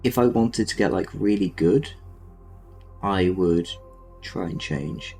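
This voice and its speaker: Man, Southern English accent, lower pitch, self-deprecating, casual filler-heavy talk. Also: slow, a little mellow, soft background music. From Southern England. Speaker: streamer. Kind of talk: casual chat while painting miniatures.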